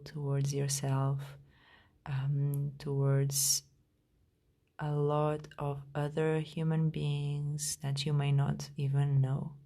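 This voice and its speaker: A woman guides a meditation in a low, mellow voice. She speaks very slowly and gently, leaving long pauses between phrases. The delivery is soft and soothing, turning breathy at times. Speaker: meditation guide, podcast host.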